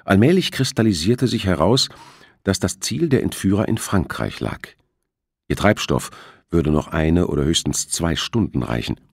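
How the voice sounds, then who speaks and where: A man with a husky voice reading a thriller novel as an audiobook narrator. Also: deep voice, animated, normal speed, no room sound, studio quality.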